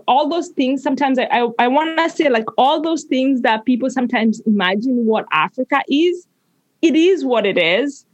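A woman, a guest on a podcast, telling stories in a warm, reflective way and pausing to search for her words. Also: fairly high pitch, dry recording. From Kenya. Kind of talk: conversational storytelling.

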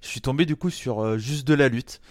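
A man's voice: mid-range voice, fairly quick, a little mellow, no room sound, studio quality. A man from Brittany with an accent from France, chatting casually as a podcast guest. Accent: French (France). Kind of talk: casual chat.

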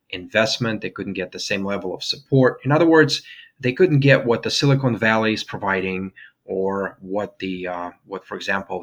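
Guest analyst, a man from Russia, low-pitched voice, deliberate and explanatory. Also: a bit bright. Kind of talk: interview answers.

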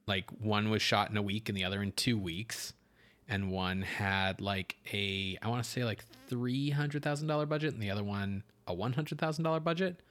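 Man co-hosting a podcast, measured delivery. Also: lowish voice, a bit bright. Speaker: podcast co-host. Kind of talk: film discussion, partly prepared notes.

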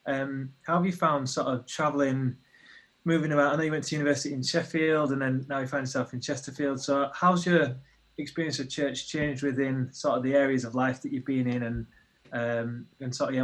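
Curious male podcast co-host. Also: mid-range voice. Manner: inquisitive, hesitant phrasing. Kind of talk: casual conversation.

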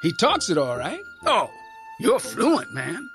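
A man's voice: sits high, huge pitch swings, takes it slowly, fairly bright tone, faint music behind, voice pushed up, full character voice. A man voicing rowdy male soldiers who tease a boy in an amused, mocking drawl. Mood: teasing.

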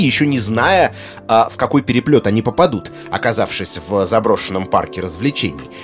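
A man presents an explanatory talk in a medium-pitched, animated voice. He phrases things articulately, leaning on key words and pausing deliberately. Music plays behind him. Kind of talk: explanatory video essay narration on film music.

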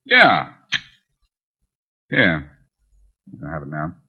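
Deep, husky, cocky radio detective. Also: male voice, wildly swooping pitch, full character voice. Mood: confident. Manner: wry, taunting, unhurried. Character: smooth, cocky amateur detective, bantering and self-assured. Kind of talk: 1940s radio detective acting. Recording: dull, lo-fi 1940s radio recording.

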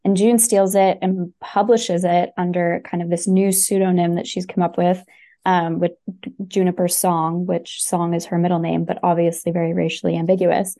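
Excited woman on a podcast, mellow low-ish voice, lots of ums and restarts. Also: moderate pace. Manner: enthusiastic, lots of fillers and restarts. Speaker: podcast guest recommending books. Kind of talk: casual chat.

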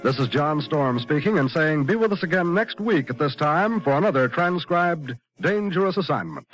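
A fairly high male voice with an American accent, very dry and close. He reads as a vintage network announcer, in scripted 1950s style on an old radio broadcast. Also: lively intonation, moderate pace, a bit bright, no echo, faint music behind, low-quality recording.

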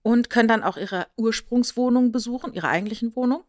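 Eine Frau mit deutschem Akzent plaudert als Podcast-Co-Moderatorin, erklärt erzählend, spielt Zitate nach und sucht mit kleinen Nachfragen Zustimmung. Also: female voice, fairly high pitch, brisk, dry recording, studio quality. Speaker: podcast co-host. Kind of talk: casual podcast chat, explanatory retelling.

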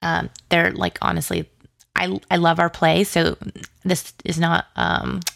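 Casting: woman, creaky-voiced, enthusiastic rambler in a casual interview, very dry close sound. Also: fairly low pitch, level tone, measured pace, fairly bright tone, no echo. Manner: chatty, rambling, heavy use of "like" and "you know"; effusive, enthusiastic. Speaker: interview guest, audio drama writer and producer.

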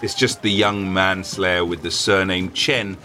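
A man's voice: deep voice, steady tone, measured pace, pushed and bright, faint music behind. A man voicing a grumpy, haughty old swordsman who talks down to everyone, in a storybook reading. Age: older. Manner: haughty, drawling, dismissive.

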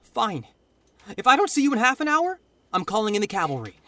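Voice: mid-range voice, huge pitch swings, brisk, bright, forceful tone. An actor playing a worried, protective young friend and investigating partner in a naturalistic audio drama. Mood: anxious, exasperated.

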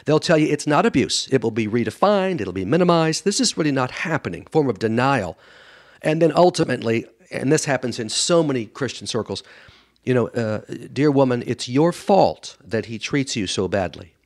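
A man's voice: mid-range voice, animated, moderate pace. A man speaking as a guest expert in an interview, answering conversationally in a blunt, emphatic way and acting out what others say. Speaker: guest expert doctor and author on a radio show.

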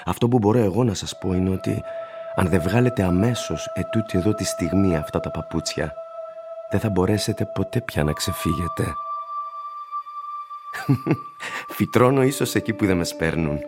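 An actor playing an ageing, plain-spoken shoe shiner in a radio play, courteous and gravely cautioning, with a husky, gravelly voice. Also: male voice, deep voice, long pauses, music in the background, lightly acted. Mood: earnest urging. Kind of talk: radio drama acting.

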